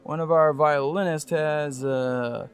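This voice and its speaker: A man's voice: a little high, steady tone, takes it slowly. A man playing a dismissive, brusque pony who works at an opera house, in an audio drama.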